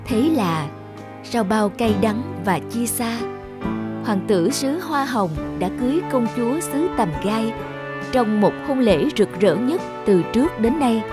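Female storyteller for scripted children's fairy tales, high-pitched and very expressive. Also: takes it slowly. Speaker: narrator. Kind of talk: scripted fairy-tale narration. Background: music.

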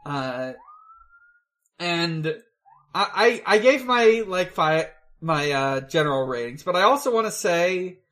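A podcast host talks through a film in a casual, rambling way. The pace is slow and halting, with thoughts restarted and hedged as they go. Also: medium pitch, animated, a bit bright. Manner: halting, hedging, rambling delivery. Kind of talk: casual film review chat.

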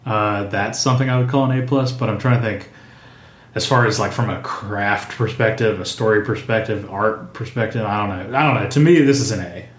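A man's voice: on the low side, brisk. Hesitant male podcaster, echoey room. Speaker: podcast co-host. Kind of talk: casual chat. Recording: roomy small room.

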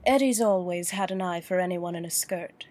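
An actress playing a wealthy businesswoman client in an audio drama, cool and measured. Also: lowish voice, a little mellow, lightly acted. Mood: composed. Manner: cool, measured, dryly composed.

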